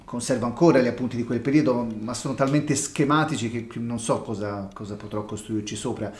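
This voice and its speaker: A man's voice: medium pitch, darkish tone, echoey room, lightly acted. A man voicing an elderly retired doctor who often stumbles and restarts his words. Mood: reluctant. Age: older. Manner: halting, stammering restarts. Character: old Dr Watson, retired doctor, hesitant. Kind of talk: amateur audio drama.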